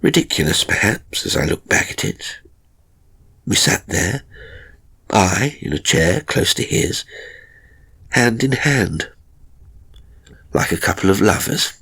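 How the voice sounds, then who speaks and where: A man narrating a first-person horror story dramatically, in a measured, suspenseful way with deliberate pauses. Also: lowish voice, fairly bright tone, breathy edge. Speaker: audiobook narrator.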